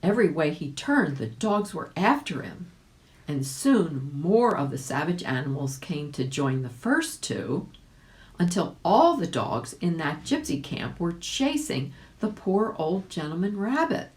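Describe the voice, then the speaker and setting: Low-pitched woman, lively unhurried storytelling. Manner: animated, addresses young listeners directly. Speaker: volunteer audiobook reader. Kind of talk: children's story narration, expressive.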